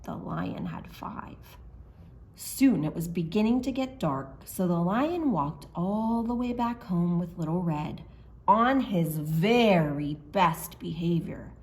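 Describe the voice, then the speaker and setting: A woman's voice: fairly low pitch, animated, slow, darkish tone. A woman working as a children's storyteller, speaking expressively and simply as if to young children. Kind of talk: animated storytime narration and simple craft explanation for children.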